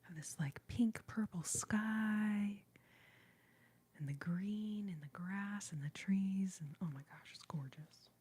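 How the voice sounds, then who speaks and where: A young woman whispering softly in a chatty, rambling ASMR livestream, reading out viewer comments and giggling now and then, close to the mic. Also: medium pitch, slow pace, a little hiss or hum. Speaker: ASMR streamer.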